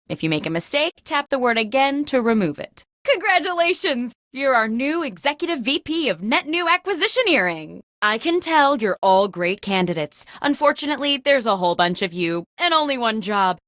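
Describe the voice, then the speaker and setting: Chipper female boss, insecure, theatrical, high-leaning pitch, big swoops, video game host. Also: a bit bright, no echo, studio quality. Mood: chipper, eager. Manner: peppy, over-eager, theatrical delivery with sudden swoops. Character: chipper, insecure, scatterbrained office boss hosting job interviews.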